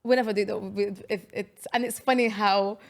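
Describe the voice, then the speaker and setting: A woman's voice: mid-range voice, steady tone, moderate pace, creaky. A young woman co-hosting a casual podcast, joking around and often trailing off mid-sentence. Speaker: podcast co-host. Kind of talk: casual chat.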